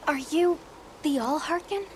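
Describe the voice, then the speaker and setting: A woman's voice: high-pitched, measured pace, slight background noise. An actress playing a polite, composed young woman who handles an expedition in a game cutscene. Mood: polite, respectful. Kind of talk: dramatic video game cutscene acting.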